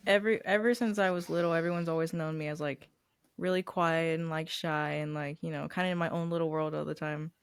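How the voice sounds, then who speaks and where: A young woman in casual podcast chat, soft-spoken and hesitant with lots of "like". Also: low-pitched, fairly even intonation, fairly quick, smooth, dry recording. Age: young adult.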